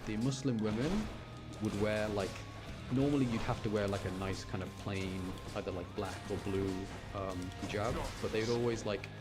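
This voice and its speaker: A man's voice: on the low side, fairly even intonation, moderate pace. A young British man chatting casually and commentating on a game as he livestreams, rambling and often repeating his words and phrases. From United Kingdom. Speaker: game streamer. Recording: music playing behind the voice. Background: game music.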